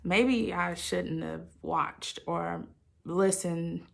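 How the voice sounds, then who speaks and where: A woman sharing a personal story with listeners in a candid, halting, reflective way, often qualifying what she says and voicing her own thoughts expressively. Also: fairly low pitch, creaky. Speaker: host of a personal channel.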